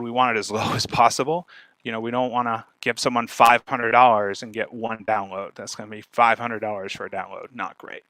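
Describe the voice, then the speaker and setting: Bright-voiced man giving an informal talk. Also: medium pitch, no room sound. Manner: fast, animated talker who checks in with "right?". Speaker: speaker, podcast marketer giving a talk.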